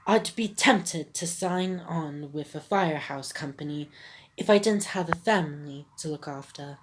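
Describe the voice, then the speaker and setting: A proper, devoted Dalmatian father from a video game speaks in a plain, rather low voice, read aloud by a woman. Her throat sounds phlegmy from illness. The line delivery is simple reading, with no put-on character voice. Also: measured pace, a little hiss or hum. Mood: earnest.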